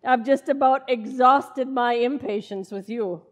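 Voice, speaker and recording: A woman's voice: on the high side, a little slow. A woman playing a long-suffering, sensible wife in an old-time radio comedy, chiding in a dry, folksy way. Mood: exasperated.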